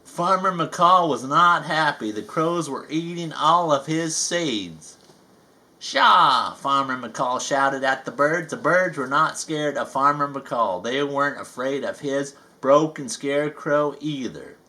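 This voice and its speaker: Male narrator, folksy read-aloud, slow and unhurried delivery, bright voice. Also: on the high side. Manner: folksy, playful storyteller. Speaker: storybook reader.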